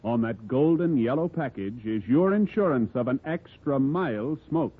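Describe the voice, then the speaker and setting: A man working as a 1950s radio announcer, reading show and sponsor copy in a measured, declamatory way. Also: medium pitch, expressive, husky. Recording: muffled vintage radio recording.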